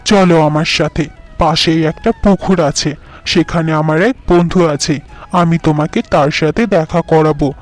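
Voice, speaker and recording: Guy doing a friendly cartoon monkey, earnest and comforting, voice a bit high, music under it. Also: measured pace, natural voice. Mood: friendly, reassuring. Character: kindly talking male monkey, protective friend of a girl. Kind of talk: Bengali fairy-tale cartoon dialogue.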